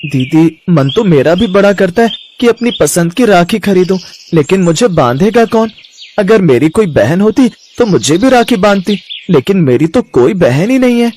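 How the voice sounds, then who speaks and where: A wistful, affectionate schoolboy acted by a man in a cartoon moral tale. His voice is very high and he talks in an earnest, childlike way. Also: very animated, moderate pace, a little mellow, a little hiss or hum. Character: wistful, affectionate young schoolboy longing for a sister.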